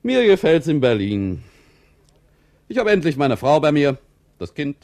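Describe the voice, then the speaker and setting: An actor playing a cynical, contented wartime mail clerk in a 1960s radio play, brisk, chatty and mocking. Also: male voice, a little high, big pitch swings, darkish tone, dry recording. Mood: breezy, contented.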